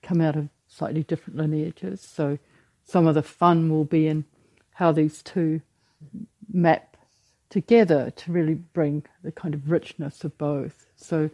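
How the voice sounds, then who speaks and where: A woman in her sixties giving a dharma talk as a retreat teacher, reflective and halting, often restarting her sentences. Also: low voice, steady tone, warm tone, dry recording, low-quality recording.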